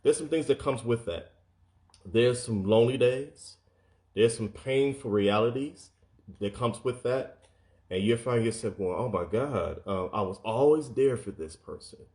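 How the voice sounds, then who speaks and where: A man's voice: medium pitch, measured pace, a little mellow. A man giving an earnest motivational talk, speaking straight to his listeners, often repeating words and acting out quoted speech.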